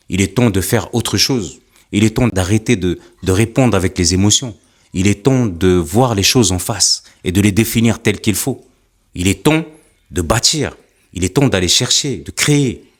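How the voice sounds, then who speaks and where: Deep-voiced man giving impassioned commentary. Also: normal speed. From Africa. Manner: earnest, rhetorical, repeats questions for emphasis.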